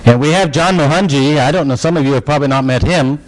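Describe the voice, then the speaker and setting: A man's voice: a little high, fast, poor audio. A man introducing visiting guests at a church meeting in a friendly, joking manner. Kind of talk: casual introductions.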